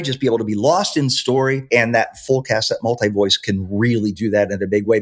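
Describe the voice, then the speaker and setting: A man's voice: mid-range voice, slightly rough. A man speaking as a podcast guest, explaining his product in an enthusiastic, conversational way. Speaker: podcast guest, software maker.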